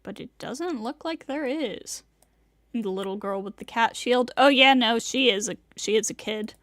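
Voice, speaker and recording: A woman's voice: a little high, normal speed, fairly bright tone, no echo. A woman live streamer chatting casually to her viewers.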